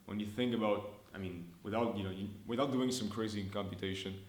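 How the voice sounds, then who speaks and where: A young man talks in a fairly low voice, chatty and upbeat, thinking out loud and restarting his sentences. He records himself in a room that gives the sound a noticeable echo. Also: fairly even intonation, brisk. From Montreal, Canada. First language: French. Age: early 20s. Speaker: startup founder. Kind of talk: casual business vlog update. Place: indoor room, filmed on his own camera.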